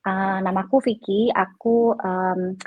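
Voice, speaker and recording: Husky woman introducing herself. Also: medium pitch, steady tone, slow pace, no echo, phone-quality sound. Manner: hesitant, many fillers. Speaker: guest. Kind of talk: self-introduction on an online panel.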